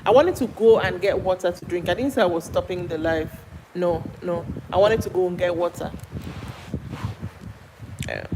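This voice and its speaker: A woman's voice: on the low side, moderate pace, long pauses. A Nigerian woman hosting a casual live stream, greeting viewers by name and answering their comments aloud in a chatty way. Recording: noisy background with passing traffic.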